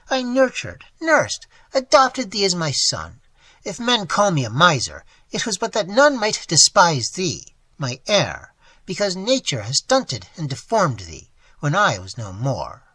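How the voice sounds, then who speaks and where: Man doing a frail old miser, shrill, wailing, husky, swooping pitch. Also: wildly swooping pitch, measured pace, bright, forceful tone, dry recording, heavily acted. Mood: reproachful. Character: frail old male miser, anguished and pleading.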